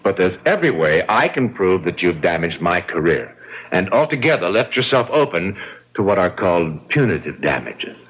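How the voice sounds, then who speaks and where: A suave killer in a vintage-style radio play, with a very low voice that swoops dramatically up and down. He is mocking and coldly cruel, savoring each threat, on a dull lo-fi recording. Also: sing-song, fairly bright tone, slightly rough, exaggerated voice. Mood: cold menace. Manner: mocking, taunting, theatrically deliberate. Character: suave, gloating murderer, charming and coldly cruel. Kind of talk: old-time radio drama acting.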